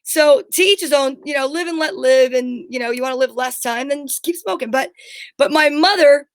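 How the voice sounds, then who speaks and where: A woman's voice: high-pitched, fairly quick, no echo. A middle-aged woman co-hosting a talk show, chatting casually in a blunt, candid, rambling storytelling way. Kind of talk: casual chat.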